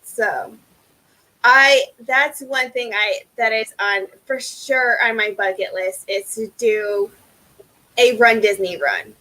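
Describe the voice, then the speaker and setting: A woman from Illinois with a Midwestern American accent, chatting casually and haltingly as a livestream co-host. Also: a little high, sharp tone, low-quality recording.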